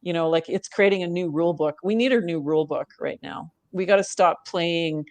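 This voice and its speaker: A woman's voice: fairly low pitch, brisk, no echo. Laughing woman, dry close mic. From Canada. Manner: warm, thoughtful, laughs often, frequent restarts. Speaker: podcast guest. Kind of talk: casual interview chat.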